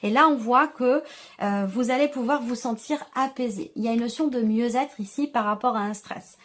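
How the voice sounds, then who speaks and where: A woman's voice: on the high side, normal speed. A woman giving a conversational tarot reading to her viewers, reassuring them and often circling back over her phrases. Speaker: tarot reader, channel host.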